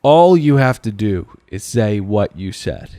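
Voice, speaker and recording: A man co-hosting a casual comedy recap podcast, talking in a halting, profane, sarcastic way. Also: on the low side, sing-song, mellow, dry recording, studio quality. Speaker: podcast co-host.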